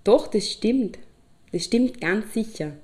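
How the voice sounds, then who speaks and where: A woman's voice: medium pitch, animated, slow, dark tone. An actress playing a chatty, teasing woman with an Austrian accent in a radio play, casual, insistent and amused. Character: chatty, teasing woman contradicting her partner. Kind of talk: casual improvised-sounding radio play chat.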